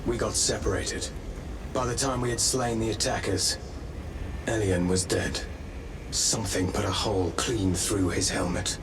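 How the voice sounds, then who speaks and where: An actor playing a grim, loyal warrior in a video game, speaking gravely and plainly. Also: male voice, fairly low pitch, steady tone, measured pace, background noise, lightly acted. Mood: sombre. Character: grim, loyal male warrior reporting from battle. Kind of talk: video game cinematic acting.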